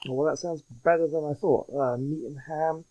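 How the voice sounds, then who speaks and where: A man's voice: on the high side, fairly even intonation, fairly quick, highs cut off. A man giving casual, chatty commentary as he plays a game, thinking aloud and reading choices out. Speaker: gaming streamer.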